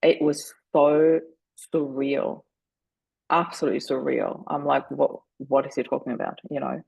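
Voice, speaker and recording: A woman in her forties from Austria, a German speaker, who talks as a podcast guest and tells her story earnestly and deliberately, slowing down on key moments. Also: a bass voice, fairly even intonation, creaky, a little mellow, breathy edge, no room sound, highs cut off. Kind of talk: reflective storytelling.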